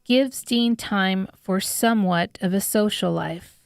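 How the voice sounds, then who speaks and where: A woman narrates an audiobook from a script. She reads slowly in a smooth, clear voice of middle pitch, close and dry. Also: no room sound. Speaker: author narrating her own audiobook.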